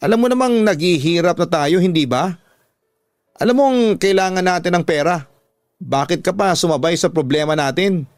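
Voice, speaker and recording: A man's voice: high-pitched, moderate pace, no room sound, studio quality, voice pushed up. A man voicing an angry, nagging father who scolds his daughter with exasperated insults in a radio drama. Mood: angry, disappointed.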